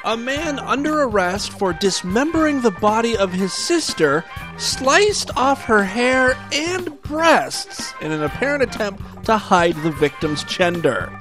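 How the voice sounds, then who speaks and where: A man's voice: sits high, big pitch swings, unhurried, music in the background, poor audio. A man hosting a comedy podcast, reading odd news stories and voicemails in a crude, mocking way and laughing at his own jokes. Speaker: podcast host.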